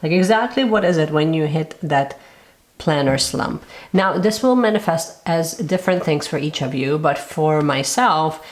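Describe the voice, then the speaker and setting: Woman, low voice, raspy from a cold, casual chatty host, lots of fillers. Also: lively intonation, normal speed. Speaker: planner channel host. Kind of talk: casual chat to camera.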